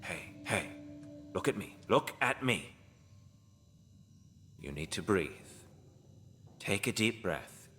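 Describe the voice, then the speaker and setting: A man playing a mysterious, grim healer in an audio drama, commanding yet consoling, with measured, ominous, formal phrasing. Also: sits low, animated, pushed and bright, exaggerated voice. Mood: firm, calming.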